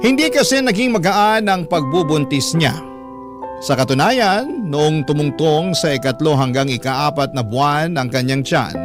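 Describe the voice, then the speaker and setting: An actor playing a devoted, hardworking young family man with a Filipino accent, telling his hardships as an earnest, confiding first-person radio drama narration. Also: on the high side. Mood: earnest, heartfelt.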